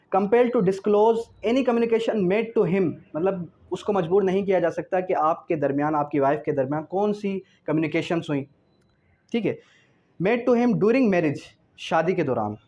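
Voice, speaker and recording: A man's voice: high voice, darkish tone. A man with a Pakistani accent giving an explanatory law lecture, mixing in English and asking questions that he then answers himself. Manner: didactic, asks and answers own questions, slows to read out clauses.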